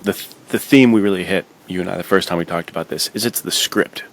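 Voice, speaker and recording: A man with a very low voice talks casually as a podcast co-host. His phrasing is slangy and buddy-like, as if chatting with a friend, and a faint layer of noise sits behind him. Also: fast talker. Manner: slangy, buddy-like talk peppered with "dude" and "like". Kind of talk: casual film chat.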